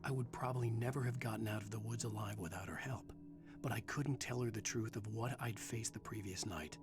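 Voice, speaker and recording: A male actor playing a troubled writer narrating his own thriller in the first person, measured and serious. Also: fairly low pitch, lightly acted. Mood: brooding, wary. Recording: music under the voice.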